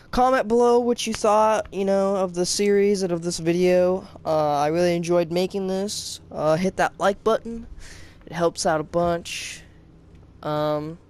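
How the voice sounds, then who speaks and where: Higher-voiced young man, casual gaming host. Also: a little mellow, smooth. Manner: hesitant, rambling, informal. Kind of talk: casual off-the-cuff commentary to viewers.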